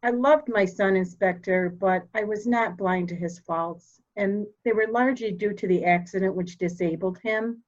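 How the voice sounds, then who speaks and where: A woman playing a proud, formal, candid elderly mother in a murder mystery reading, speaking deliberately. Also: medium pitch, darkish tone, muffled recording, natural voice. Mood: composed, candid. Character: proud, cold old mother, candid and slightly deaf.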